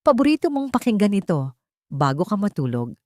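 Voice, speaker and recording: Woman narrator as a gentle mother, affectionate, tender, respectful, storybook drama, dry sound. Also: mid-range voice, very animated, a little fast, no room sound, natural voice. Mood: fond. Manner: tender, polite.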